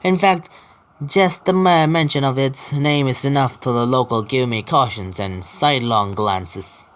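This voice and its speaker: A man hosting a gaming video, reading story text aloud haltingly with stumbles and adding casual asides. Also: medium pitch. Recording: faint game music behind. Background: faint video game music.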